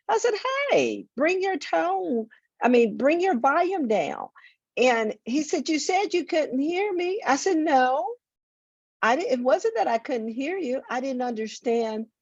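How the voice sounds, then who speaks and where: A woman's voice: on the high side, slightly rough, no room sound. A woman co-hosting a talk show, speaking earnestly and often repeating words as she thinks. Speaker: co-host. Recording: dull dry sound.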